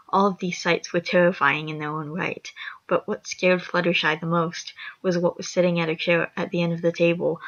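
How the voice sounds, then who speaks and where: A young woman with a raspy voice, reading a horror fan story aloud, rambling and apologetic with chatty asides. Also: lowish voice, a bit bright. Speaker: story reader. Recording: lo-fi dull recording.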